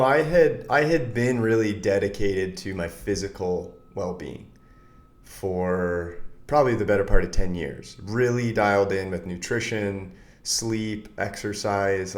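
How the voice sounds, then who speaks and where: A man's voice: fairly low pitch, unhurried. A man who coaches other men, telling his story as an interview guest in an earnest, candid way. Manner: earnest, candid, animated bursts.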